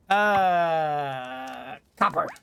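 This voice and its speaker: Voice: mid-range voice, creaky, fairly bright tone, smooth. A gamer giving casual let's play commentary, thinking aloud and often repeating words. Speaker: gaming video host.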